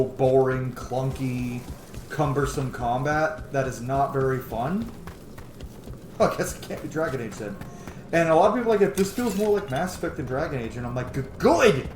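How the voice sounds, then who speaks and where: A man's voice: mid-range voice, moderate pace, a little mellow. Man casually commentating gameplay in echoey room. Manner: casual, profane. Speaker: gaming video host. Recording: roomy echo, clear background noise.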